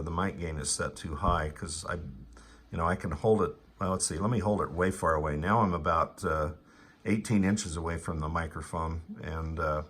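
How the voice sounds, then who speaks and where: A man talking casually as an amateur radio operator, explaining gear technically in a halting, hesitant way. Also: deep voice, a bit bright. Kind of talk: casual ham radio chat.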